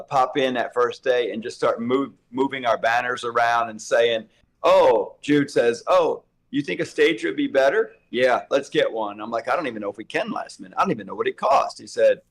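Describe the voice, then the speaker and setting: A man co-hosting a casual chat, slightly hoarse and rambling as he thinks aloud. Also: medium pitch. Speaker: co-host.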